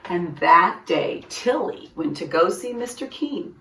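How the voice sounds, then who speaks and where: Female storytime reader, very lively intonation, emphatic, mid pitch, roomy and slightly distant mic. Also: a little slow, a little hiss or hum. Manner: animated, emphatic. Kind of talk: expressive read-aloud of a children's story. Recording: roomy echo, distant microphone.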